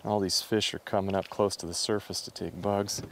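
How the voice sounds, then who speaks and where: A man's voice: sits low, flat delivery, moderate pace, gravelly, a little hiss or hum. A middle-aged man chatting casually and enthusiastically while fishing from a drift boat outdoors. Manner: enthusiastic, exclaiming. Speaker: fishing guide and host. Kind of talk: casual on-camera chat.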